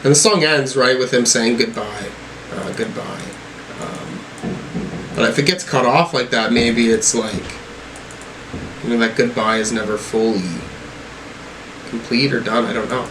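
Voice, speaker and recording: A man talks casually through a music review, thinking aloud as he interprets lyrics. His delivery is hesitant and tentative, at a middling pitch. A faint steady hum sits behind his voice. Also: unhurried, long pauses. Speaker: music reaction video host.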